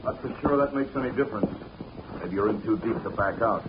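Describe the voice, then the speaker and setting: An actor playing a smug blackmailer in a 1940s radio drama, speaking low and menacing. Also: male voice, fairly quick, lightly acted. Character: threatening male blackmailer, cool and smug. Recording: dull old radio broadcast with hiss.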